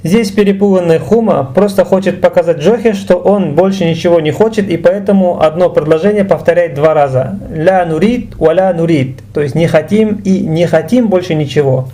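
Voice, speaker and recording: A man teaching a language, explaining and translating phrases in a deliberate, instructional way. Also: high-pitched, mellow.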